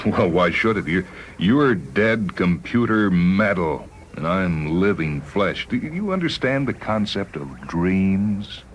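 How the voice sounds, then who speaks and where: A man's voice: low-pitched, lively intonation, slow pace. A man playing a dying spaceman who reflects wistfully and earnestly, with a hesitant dramatic delivery, in a dramatized short story. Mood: wry. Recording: noisy vintage radio drama recording.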